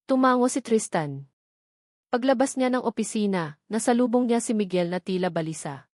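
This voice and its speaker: A woman's voice: fairly high pitch, expressive, normal speed, a little mellow, no echo, studio quality. A woman reading a scripted, suspenseful love story as narrator. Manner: dramatic, suspenseful.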